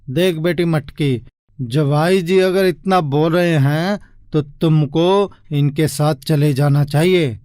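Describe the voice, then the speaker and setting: Male actor, elderly village dad, slightly high pitch, mellow, gentle, persuading, moral-story cartoon. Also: takes it slowly, no echo, clean studio sound. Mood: gentle, resigned. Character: gentle old village father advising his daughter.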